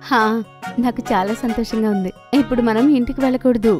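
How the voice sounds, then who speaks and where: A woman voicing a kind, nervous young mother in a children's cartoon, gentle and easily worried. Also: a little high, fairly even intonation, fairly quick, over music, natural voice. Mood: happy, reassuring.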